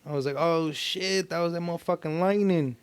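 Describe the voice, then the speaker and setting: Male co-host, American, slightly high voice. Laid-back, sweary, slang-filled casual chat. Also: brisk, clear, resonant tone. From California Central Coast, United States.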